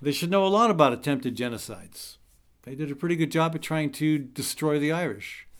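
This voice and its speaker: A man talking in a relaxed, rambling way, pitched a little high for a man. He stops and restarts often and fills gaps with ums and uhs. Now and then he drops in a dry, wry aside. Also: fairly quick. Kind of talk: casual spoken commentary. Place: Des Moines.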